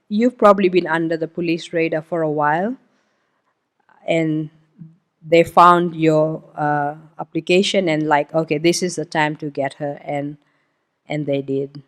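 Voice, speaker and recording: A woman whose mother tongue is Hindi gives a missionary testimony at a church service. Her talk is loose and conversational, with plenty of "um"s and "uh"s. She stops now and then to point things out on a map for the congregation. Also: sits low. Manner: informal, explains while pointing at a map, frequent "um" and "uh". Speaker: missionary guest speaker.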